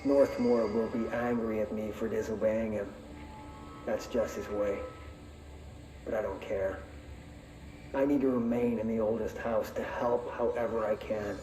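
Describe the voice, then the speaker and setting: A man playing a solemn, devoted and disillusioned figure in a video game, delivering a grave, measured, reflective monologue. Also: fairly low pitch, fairly even intonation, dark tone, a little hiss or hum, close to an everyday voice. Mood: resolute, wistful. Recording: echoey room with music behind.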